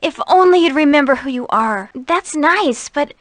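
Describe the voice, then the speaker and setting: A woman's voice: sits high, expressive, normal speed, dry recording. An actress voicing an earnest, caring young woman, a dutiful daughter, in a video game. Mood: gentle concern.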